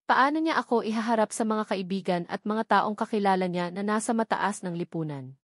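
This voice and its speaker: A woman's voice: medium pitch, brisk, dry recording, studio quality. A woman reading a romance novel aloud as a scripted first-person narration. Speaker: audiobook narrator.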